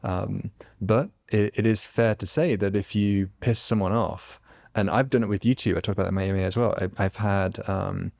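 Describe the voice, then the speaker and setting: A low-voiced man with a gravelly, creaky edge to his speech. He hosts a casual podcast chat and talks through his thoughts in an earnest, reflective way, with a rough texture under a deep voice. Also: fairly even intonation, moderate pace, darkish tone. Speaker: podcast host.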